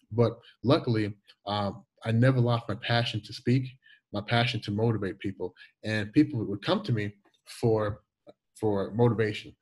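Brief: Jamaican-accented man, 40s, husky, slight lisp, animated storyteller who restarts his words. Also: lowish voice, low-quality recording. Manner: animated, repeats words and restarts, laughs easily. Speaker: guest. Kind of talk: conversational storytelling interview.

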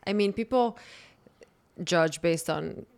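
A woman in her thirties from Croatia, speaking English. Her voice sits low and sounds smooth and resonant. She tells long stories in a relaxed podcast conversation and laughs often. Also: slow. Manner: animated, laughs often, long storytelling turns. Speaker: podcast host and dating content creator.

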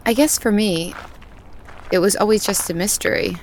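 An actress playing a calm, self-assured young woman raised on a family farm. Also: medium pitch, normal speed, a little hiss or hum, natural voice, poor audio. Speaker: farmer's daughter. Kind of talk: audio drama acting. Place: outdoors on a farm at night.

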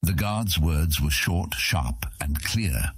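A man with a husky voice reading scripted fantasy narration as an audiobook narrator. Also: extremely low pitch, expressive, slow pace, no room sound.

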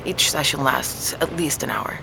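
An actress playing a calm woman neurologist who explains things patiently and weighs the evidence, in an audio drama. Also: rumbling low, moderate pace, gravelly, background noise, heavily acted. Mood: explaining.

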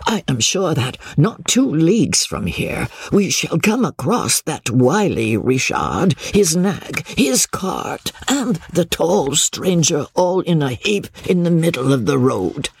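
A man voicing a cunning, greedy old male peddler in an audiobook, humble and deferential in his deliberate speech. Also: a little high, very animated, vocal fry, slightly rough, no echo, pitched above the performer's own voice, full character voice. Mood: servile, sly. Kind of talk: audiobook character voice.

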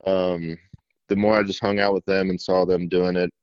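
A shy young man from middle Tennessee, a fishing YouTuber, answering interview questions in a self-described monotone with many fillers. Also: deep voice, moderate pace, smooth, no room sound, highs cut off. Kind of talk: casual interview.

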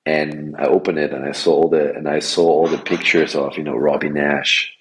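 Austrian guy in his 40s, deep voice, lots of ums and restarts, chatty podcast guest. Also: level tone, normal speed, fairly bright tone, poor audio. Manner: hesitant, many fillers and restarts. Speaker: guest, wingfoil tour director. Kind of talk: casual podcast interview.

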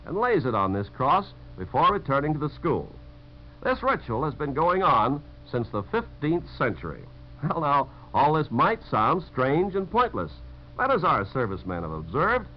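An American man giving a scripted 1950s radio talk in a conversational, measured way. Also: medium pitch. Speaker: narrator of message about servicemen. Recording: dull, hissy 1950s radio recording.

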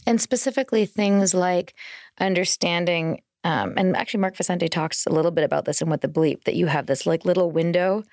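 A woman's voice: lowish voice, fairly quick, darkish tone, no echo, studio quality. A woman co-hosting a casual podcast conversation, explaining things through personal anecdotes. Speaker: podcast co-host.

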